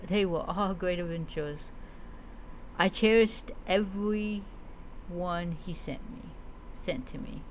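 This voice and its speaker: Slow, halting woman storyteller over noise. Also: mid-range voice, rough recording. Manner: halting reading, often stumbles and repeats words. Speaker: role-play storyteller. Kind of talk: first-person story narration, read aloud.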